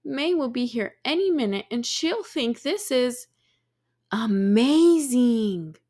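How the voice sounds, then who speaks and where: A woman voicing a busy, excitable little girl in a storybook, dramatic and exclamatory, stretching out her words. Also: fairly high pitch, slow pace. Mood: dismayed.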